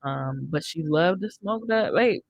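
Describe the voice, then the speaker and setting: A woman's voice: deep voice, animated, unhurried, gravelly, highs cut off, a little hiss or hum. A young woman hosting a casual music podcast, chatting into a close studio microphone. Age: young adult. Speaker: radio show host. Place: indoor studio. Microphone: close studio mic on boom arm, headphones.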